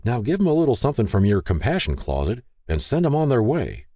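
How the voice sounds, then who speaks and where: A man voicing a gruff, folksy older small-town husband who grumbles, in an audiobook. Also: low voice, big pitch swings, fairly quick, warm tone, no echo, clean studio sound, pitched above the performer's own voice. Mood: grumbling.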